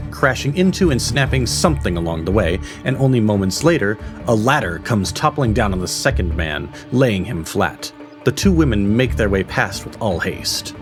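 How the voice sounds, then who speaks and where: Casting: male narrator, lowish voice, measured dramatic fantasy storytelling over clearly audible music.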